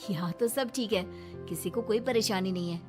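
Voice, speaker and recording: A woman's voice: mid-range voice, moderate pace, lightly acted. Concerned young grandson, voiced by a woman, music behind. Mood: concerned, eager. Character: devoted young grandson, protective husband, concerned. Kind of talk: cartoon story dialogue.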